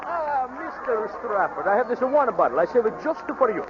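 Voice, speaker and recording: Proprietor, eager to please, very high pitch, 1940s radio play, muffled noisy recording. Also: male voice, very animated, brisk. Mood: fawning, welcoming. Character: hospitable Italian speakeasy proprietor, fawning over a regular.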